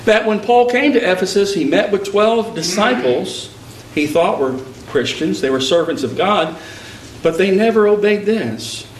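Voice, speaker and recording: Emphatic preacher in an echoey hall. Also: male voice, high voice, lively intonation, measured pace, slight background noise. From Texas. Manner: emphatic, rising preaching cadence. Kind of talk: sermon with personal storytelling. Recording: echoey hall picked up from a distance.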